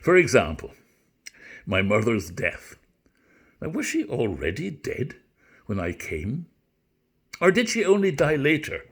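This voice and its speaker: Man acting a weary, muddled Irishman, pitched up. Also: very animated, unhurried, long pauses, slightly rough. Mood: puzzled, plaintive. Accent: put-on Irish. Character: weary, muddled Irish male narrator of an absurdist novel. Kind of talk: dramatic literary reading.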